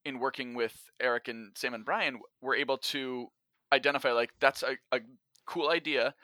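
A man with a mid-pitched, bright and clear-edged voice in a very dry recording. He talks casually as a podcast guest, laying out his thoughts in a measured way with examples. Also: steady tone, no room sound. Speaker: podcast guest, audio drama writer and actor. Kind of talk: casual explanatory chat.

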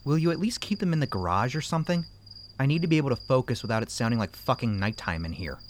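An actor in an audio fiction comedy playing a jealous, defensive, foul-mouthed video maker who gripes sarcastically. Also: male voice, mid-range voice, very animated, fast talker, a little hiss or hum, close to an everyday voice. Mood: irritated. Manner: sarcastic, griping, profane. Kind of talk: naturalistic audio fiction dialogue.

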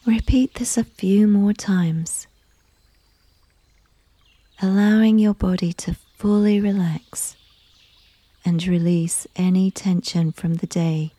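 A woman leads a guided sleep meditation in a soft, breathy voice that often sits close to a whisper. She speaks very slowly and gently, with a soothing, scripted calm. The sound is close and dry. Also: mid-range voice, dry recording. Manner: gentle, unhurried, soothing delivery.

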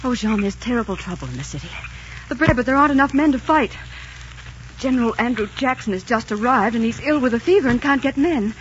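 A woman plays a proud, lovestruck young heroine with a highish, very animated voice. She acts melodramatically, as in 1940s radio romance. The recording is muffled and noisy. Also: fast talker, lightly acted. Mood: urgent pleading.

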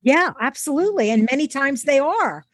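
Higher-voiced mature woman hosting, close and dry. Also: animated, fairly quick, dry recording. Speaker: podcast host. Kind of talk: conversational interview.

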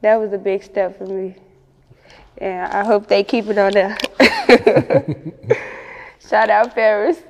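A woman's voice: mid-range voice, slow pace, long pauses, long reverb tail. A woman with a Chicago accent chatting casually in an interview as a rapper, with slangy, sweary talk. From Chicago, United States.

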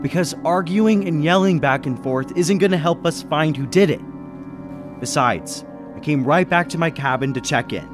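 An actor playing a level-headed businessman who narrates a murder mystery in old-time radio style, measured and reasoning. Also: fairly high pitch, lightly acted. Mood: calm, reasoning. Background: music behind the voice.

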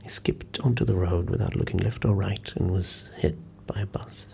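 An actor playing a sombre man recounting an anecdote in an audio drama, hushed and unhurried, with dramatic pauses. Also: sits low, gravelly, in a whisper. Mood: wry, building. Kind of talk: audio drama acting, confiding storytelling.

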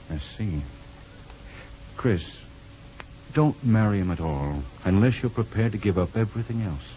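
A man playing a grave, frank but kindly doctor, measured and pointed, in 1950s radio drama style. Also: fairly low pitch, dark tone. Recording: dull, noisy 1950s radio recording.

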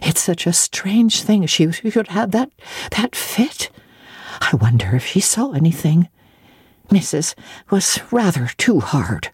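An uneasy housemaid shares gossip in hushed fragments, acted by a woman narrator for a classic audiobook. The voice is husky and soft-toned, recorded close and dry. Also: fairly low pitch, big pitch swings, unhurried, no echo, full character voice.

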